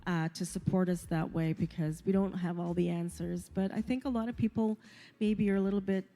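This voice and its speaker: Mellow-voiced woman talk show host. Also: fairly low pitch, fairly even intonation, fairly quick. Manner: affirming, stumbling over repeated words. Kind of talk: interview conversation.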